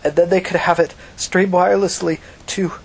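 A man's voice: sits high, fairly even intonation, moderate pace, creaky, breathy edge, pitched above the performer's own voice, exaggerated voice. Man acting a cowed, whiny young hacker. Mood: hesitant, explaining. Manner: whiny, hesitant, reluctant. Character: cowed, tearful, evasive male hacker.

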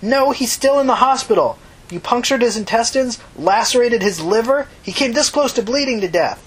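A woman's voice: a little high, animated, normal speed, breathy edge, pitched above the performer's own voice, poor audio. A woman voicing a venomous, scornful woman who accuses emphatically in an audiobook story. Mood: furious, accusing. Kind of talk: audiobook character dialogue.

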